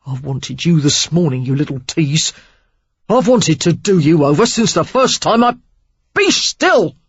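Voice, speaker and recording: A woman voicing a rough, intense man with a Cockney accent, speaking hoarsely and commandingly. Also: low voice, moderate pace, no echo, dull audio. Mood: angry. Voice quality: husky, hoarse.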